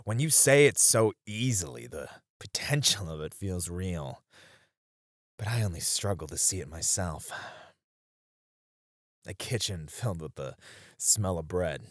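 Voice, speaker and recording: Man acting as a slow-spoken, low-voiced weary warrior. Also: sing-song, no echo, clean studio sound. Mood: wistful, tender. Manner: soft, wistful, with breathy chuckles and sighs. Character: weary young male warrior, tender, teasing sparring partner. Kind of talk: intimate ASMR romance roleplay acting.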